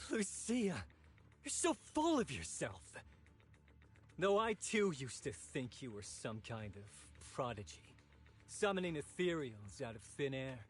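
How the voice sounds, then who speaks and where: Game villain: ruthless zealot experimenter, highish husky voice, swings widely in pitch, sneering and bitter. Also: male voice, fairly bright tone, full character voice. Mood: mocking, scornful. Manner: theatrical, taunting, sneering villain delivery. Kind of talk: dramatic video game voice acting.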